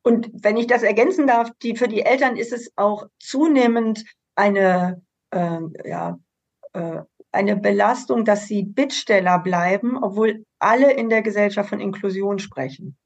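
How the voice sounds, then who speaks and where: A woman's voice: medium pitch, measured pace. A woman speaking for an association on a panel, explaining things earnestly and at length. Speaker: association representative. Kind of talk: panel discussion.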